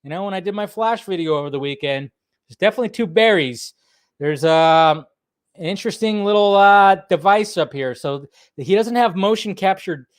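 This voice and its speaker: Male stream host, voice a bit above average in pitch, loose conversational delivery, repeats himself, dry sound. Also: moderate pace, no room sound. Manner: repeats phrases, talking to viewers. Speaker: host of a live pop-culture talk stream. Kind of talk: casual rambling livestream chat.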